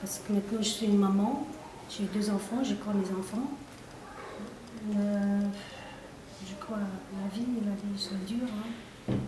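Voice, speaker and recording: A woman, a service worker and mother, speaking simply and heartfelt with frequent pauses. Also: mid-range voice, steady tone. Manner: simple, heartfelt, pausing often. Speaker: cleaning staff. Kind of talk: personal testimony. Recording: roomy distant mic with noise.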